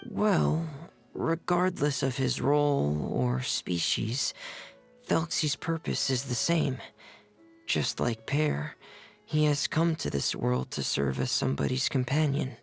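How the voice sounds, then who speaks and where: A man playing a shy, earnest, soft-spoken teenage boy mechanic in a video game, reserved and polite. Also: mid-range voice, slow pace, creaky, faint music behind. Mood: calm, helpful.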